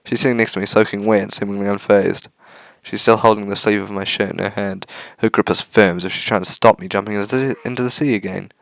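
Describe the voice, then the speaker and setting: A man's voice: on the low side, steady tone, fast talker, vocal fry, fairly bright tone, no echo. A man reading a visual novel aloud for a let's play, with casual asides.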